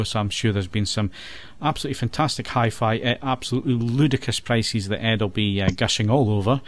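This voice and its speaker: Low-voiced British man hosting a podcast. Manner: relaxed, chatty, rambling wrap-up. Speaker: podcast host. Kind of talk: casual chat.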